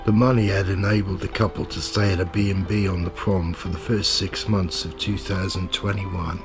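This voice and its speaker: A man's voice: deep voice, flat delivery, normal speed, music in the background. A man playing a nosy, cynical, pushy reporter in a radio play, with slangy, sardonic streetwise talk. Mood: dry, narrating. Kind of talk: audio drama dialogue and first-person narration.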